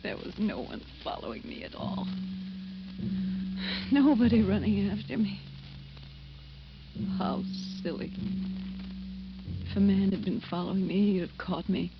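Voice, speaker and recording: An actress playing a terrified woman walking home alone at night, talking to herself in breathy, halting old-time radio horror style. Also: medium pitch, animated, dull audio. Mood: shaky relief. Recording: noisy, band-limited vintage radio recording.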